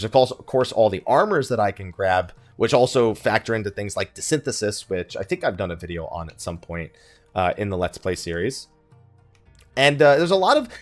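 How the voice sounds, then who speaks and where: A man hosting a let's play, talking in a casual, rambling, self-deprecating way. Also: mid-range voice. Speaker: gaming video host. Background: game music.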